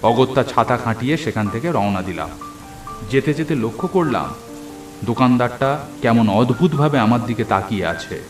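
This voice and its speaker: A man's voice: medium pitch, lively intonation, moderate pace, reverberant, over music. A man narrating a horror story in the first person, in a literary, suspenseful storytelling manner. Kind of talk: dramatic scripted horror narration.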